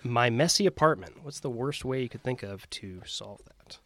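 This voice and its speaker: A man's voice: medium pitch, animated, moderate pace, a bit bright, dry recording. A man hosting a casual comedy podcast game, bantering and handing out points. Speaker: game show host awarding points.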